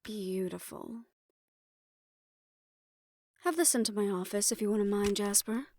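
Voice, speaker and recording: A woman's voice: medium pitch, unhurried, a little mellow, a little hiss or hum, close to an everyday voice. A woman playing a poised, worldly hotel manager in an assassin underworld audio roleplay, speaking in a composed, gracious and knowing manner. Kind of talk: roleplay audio drama acting.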